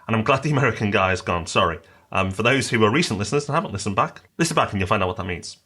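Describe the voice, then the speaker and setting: A man's voice: lowish voice, expressive, very fast, bright, forceful tone, breathy edge. A British man co-hosting a podcast, chatting upbeat and reading listener mail aloud. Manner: upbeat, promotional, reads a listener review aloud. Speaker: podcast co-host. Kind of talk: casual podcast chat.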